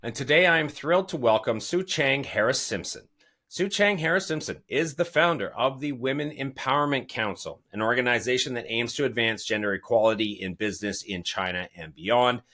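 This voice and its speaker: A man's voice: medium pitch, brisk, pushed and bright. A man hosting an interview podcast who wanders into digressions and asides before his questions. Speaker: podcast host.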